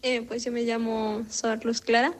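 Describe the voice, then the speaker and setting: A woman's voice: fairly high pitch, flat delivery, a little slow, slight background noise. A young woman, a novice nun, answering in a friendly, agreeable way. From Ecuador.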